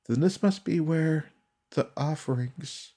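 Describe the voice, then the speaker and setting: A man voicing an earnest, frightened but determined young woman scholar in a fantasy audiobook, breathy and urgent. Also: on the high side, takes it slowly, dark tone, voice pushed up. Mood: anxious whisper.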